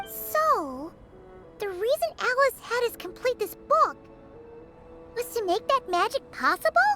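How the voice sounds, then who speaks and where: A woman voicing a tiny, excitable companion creature in a video game, with a squeaky childlike voice and an inquisitive manner. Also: unhurried, full character voice. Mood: curious. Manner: exclamatory, inquisitive. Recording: loud music under the voice.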